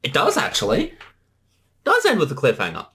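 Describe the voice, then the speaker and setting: Animated commentator with lively, swinging pitch. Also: fairly quick. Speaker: creator giving commentary, voice actor. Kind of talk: casual commentary chat between two creators.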